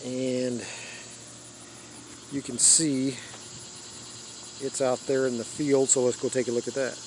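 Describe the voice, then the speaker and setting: Flat-toned older American man outdoors. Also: mid-range voice, takes it slowly. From United States. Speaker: radio hobbyist giving a tour. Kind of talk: casual unscripted show-and-tell. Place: open rural backyard, outdoors. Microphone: handheld phone or camera mic. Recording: outdoor handheld recording with background noise.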